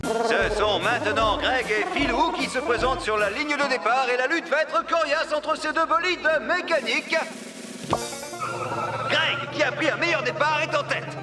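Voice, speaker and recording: A man playing an excitable, dramatic commentator in a children's cartoon, full of exclamations. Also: extremely high pitch, normal speed. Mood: excited. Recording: music under the voice.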